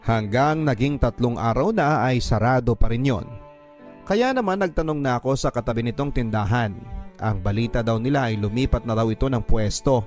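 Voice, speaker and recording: A man's voice: medium pitch, a little mellow. A man reading a listener's letter aloud in the first person as a radio drama narrator, earnest and heartfelt. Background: faint background music.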